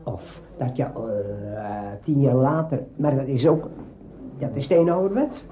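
An older man reminiscing in spontaneous, halting but emphatic anecdotes during an interview. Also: mid-range voice, warm tone, slight background noise. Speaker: interviewee, veteran radio drama maker. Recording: dull, roomy archive recording.

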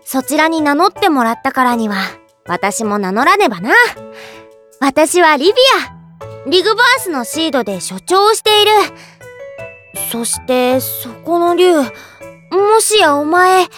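A woman's voice: high-pitched, very animated, a little slow, fairly bright tone, faint music behind, exaggerated voice. A woman playing a commanding female guard chief in an anime drama, with blunt, old-fashioned authoritative speech. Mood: composed, dignified. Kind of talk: Japanese anime drama CD acting.

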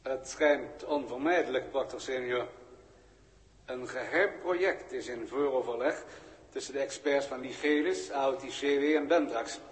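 A man plays a courteous galactic bureaucrat in an old science fiction radio play. He speaks at a middling pitch, measured, formal and detached, with a long reverb trailing every phrase. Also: natural voice. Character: courteous, unflappable bureaucrat of a galactic communications centre. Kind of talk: 1960s Dutch radio drama acting.

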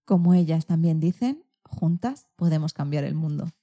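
Female co-host, Spain accent, scripted magazine presenting, soft mellow tone on the lower side. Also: expressive, normal speed. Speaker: radio programme co-host.

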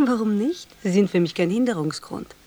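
A woman's voice: medium pitch, expressive, moderate pace, mellow, slight background noise, natural voice. An actress in a German radio play, playing a cynical, scheming young woman with a brazen, teasing manner that slips into whispers. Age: young adult.

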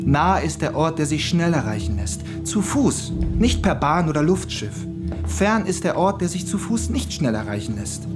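An actor playing a strong, rebellious son in a German radio play, telling of his forbidden escape with deliberate, dramatic emphasis. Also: on the high side. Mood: defiant, intense. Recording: music under the voice.

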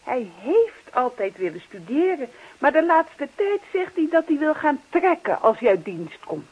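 An actress playing a middle-aged wife in a naturalistic radio play, teasing and reproachful yet affectionate. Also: female voice, high-pitched, big pitch swings, unhurried. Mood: chiding. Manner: chiding, teasing, coaxing. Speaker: actor. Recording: dull, muffled radio play recording.

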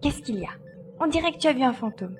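Woman acting a high-voiced, decisive female pilot. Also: lively intonation, quick pace, music in the background. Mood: purposeful. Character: determined female glider pilot searching for her babies. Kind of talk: audio drama acting in French.

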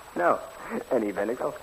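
Old radio fairy tale, faint noise: artless young hero, breezy, rambling and hesitant, with a very animated delivery. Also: male voice, mid-range voice, measured pace. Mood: eager. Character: naive, chatty young lad, a cheerful fairy-tale hero. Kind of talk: 1960s Dutch radio fairy-tale acting. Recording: faintly noisy 1960s radio recording.

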